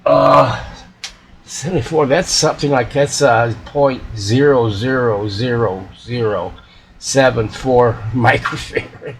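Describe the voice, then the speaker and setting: A man's voice: medium pitch, takes it slowly, slight background noise. A man giving casual, unscripted commentary as a repair hobbyist, folksy and muttering to himself.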